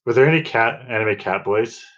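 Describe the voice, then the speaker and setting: A man's voice: medium pitch, moderate pace. A man co-hosting a podcast in casual, informal chat. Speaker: podcast co-host. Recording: slightly dull, lo-fi sound.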